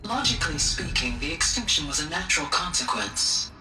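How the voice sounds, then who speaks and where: A woman playing a gentle, thoughtful female artificial intelligence in a video game, speaking formally and precisely with measured diction. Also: sits low, very animated. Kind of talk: video game cutscene acting. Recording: roomy echo, distant, music behind.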